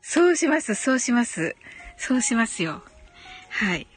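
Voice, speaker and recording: A woman's voice: on the high side, big pitch swings, unhurried, soft background music. A woman hosting a casual live audio chat, answering listener comments in a giggly way, often repeating short phrases of agreement.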